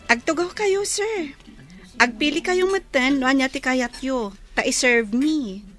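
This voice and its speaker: Babai nga agak-akto a nangato timek na a managbabain a balasang. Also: female voice, sits high, measured pace, fairly bright tone, faint music behind. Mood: bashful, polite. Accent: Ilocano Filipino. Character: shy, modest young woman serving at a canteen. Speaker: actress in a radio drama. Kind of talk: Ilocano radio comedy drama acting.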